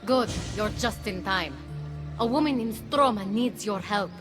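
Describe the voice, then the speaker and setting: An actress playing a commanding goddess of witchcraft in a video game, aloof and scheming, with a measured, imperious delivery. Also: mid-range voice, lightly acted. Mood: scheming, brisk. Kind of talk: video game cutscene acting. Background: background music.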